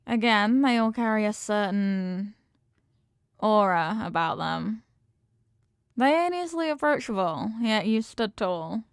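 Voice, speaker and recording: A woman's voice: mid-range voice, lively intonation, unhurried, smooth, no room sound, studio quality. A woman playing a confident mafia boss girlfriend in an intimate romantic audio roleplay, talking tough but tenderly with affectionate slang. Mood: fond, reflective. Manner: affectionate tough talk with slangy pet names.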